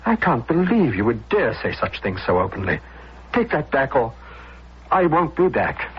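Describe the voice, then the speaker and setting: An actor playing a guilt-ridden, weak-willed son, brooding and confessional, in a radio drama. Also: male voice, medium pitch, very animated, moderate pace, breathy edge. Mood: appalled. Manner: brooding, confessional, hesitant when challenged. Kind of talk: dramatic first-person narration, radio acting. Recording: dull lo-fi radio recording, loud music.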